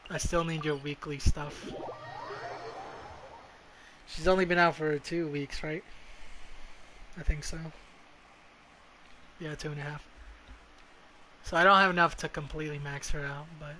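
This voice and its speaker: A man's voice: on the high side, steady tone, measured pace, pauses often, sharp tone, slight background noise, low-quality recording. A man giving casual, profane gameplay commentary as a streamer, muttering to himself as he plays. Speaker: video game streamer.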